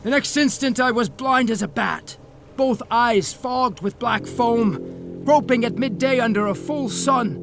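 High-voiced whaling captain, jovial storyteller, animated, audio play with music under him. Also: male voice, measured pace, creaky, exaggerated voice. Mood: vivid recounting. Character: hearty one-armed whaling captain, male, jovially sensible. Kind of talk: audio drama acting.

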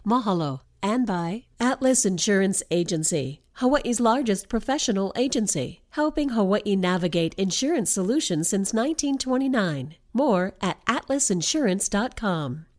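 A woman with a mid-pitched voice reads a scripted sponsor message. Her delivery is even and polished, in the manner of a radio announcer. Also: moderate pace, few pauses.

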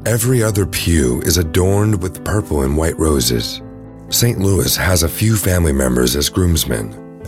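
A man narrates an audio drama from a script. His voice is deep and low, with music playing clearly behind him.

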